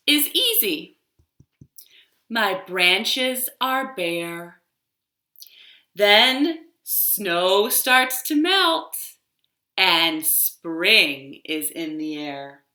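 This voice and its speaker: A woman voicing an expressive talking tree in a children's storybook, with drawn-out rhyming delivery. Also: medium pitch, heavily acted. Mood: contented, dreamy. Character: talking tree, expressive, cheerful then exasperated by autumn. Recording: roomy, echoey home recording.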